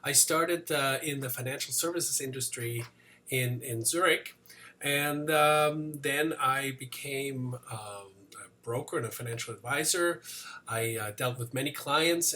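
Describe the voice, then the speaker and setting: A man's voice: mid-range voice, unhurried, pushed and bright, a little hiss or hum. A man in his forties from Switzerland with a slight Swiss accent, giving a casual motivational talk to camera, full of fillers and restarts. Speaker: business coach.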